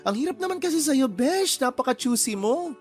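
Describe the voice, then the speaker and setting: A woman's voice: sits high, animated, normal speed, soft background music. A woman playing a breezy, teasing best friend in a radio drama, chatty and playfully exaggerated. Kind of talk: Tagalog radio drama acting.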